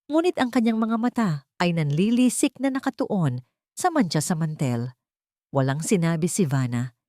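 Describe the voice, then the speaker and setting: A woman narrating a scripted dramatic story in a measured, deliberate storytelling manner. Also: lowish voice, dark tone, no room sound, studio quality.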